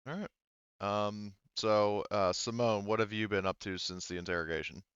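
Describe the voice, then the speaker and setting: Man, low clear voice, game master, halting casual narration, dry slightly dull recording. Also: fairly even intonation, fairly bright tone, dry recording. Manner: halting, conversational, checks in with players.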